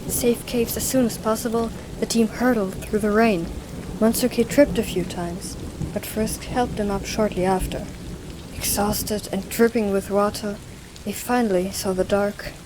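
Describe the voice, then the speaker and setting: A woman's voice: mid-range voice, steady tone, a little slow, noisy background. A woman giving scripted, dramatic story narration for an audio drama. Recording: music and storm behind.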